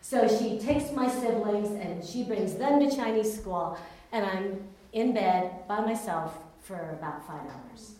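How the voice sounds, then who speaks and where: A woman's voice: mid-range voice, takes it slowly, warm tone. Woman telling her story in an echoey hall. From Taiwan, raised in California. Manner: earnest, candid storytelling. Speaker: speaker giving a personal testimony. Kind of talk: personal testimony storytelling. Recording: very echoey room, distant mic, faint noise.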